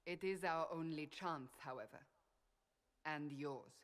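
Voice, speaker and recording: A stern warrior interrogator. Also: female voice, low-pitched, unhurried. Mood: grave. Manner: stern, commanding, grave. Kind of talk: video game dialogue. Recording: game audio with music underneath.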